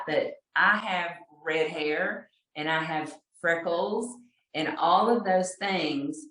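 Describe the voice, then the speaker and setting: A woman with a deep Texas accent telling a personal story in a chatty, self-deprecating way, laughing often, over a video call. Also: a bit bright, echoey room, low-quality recording.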